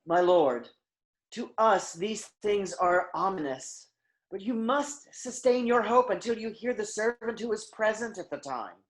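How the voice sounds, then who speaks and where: Actress as loyal chorus elder in ancient tragedy, solemn, medium pitch. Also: female voice, expressive, a little slow, close to an everyday voice. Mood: solemn, cautioning. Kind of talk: dramatic reading of Greek tragedy.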